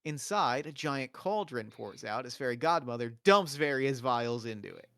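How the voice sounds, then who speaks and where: A man's voice: mid-range voice, expressive, moderate pace, sharp tone, clear, resonant tone, no echo, clean studio sound. A man reading scripted stage directions as the narrator of a table read.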